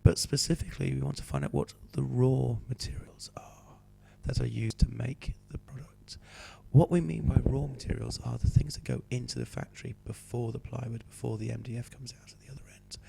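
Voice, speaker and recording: A male teacher with a cold, hoarse and croaky, patiently talking students through a homework sheet. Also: medium pitch, fairly quick, warm tone. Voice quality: croaky, slips into whispery breaks. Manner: patient, explaining step by step. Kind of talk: homework help walkthrough.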